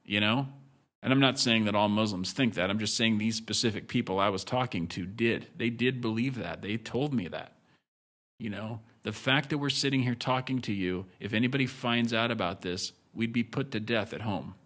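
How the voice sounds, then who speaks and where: A man's voice: fairly low pitch, moderate pace, fairly bright tone. A man as a guest on a candid podcast, talking bluntly and with some swearing, breaking off and restarting his sentences. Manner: blunt and profane, speaks in bursts with restarts.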